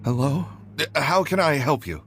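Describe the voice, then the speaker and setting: Deep-voiced, nervous, hesitant receptionist. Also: female voice, sing-song, moderate pace. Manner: hesitant, stammering, apologetic. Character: nervous, evasive club receptionist reciting a spiel. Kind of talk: video game voice acting. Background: faint game music.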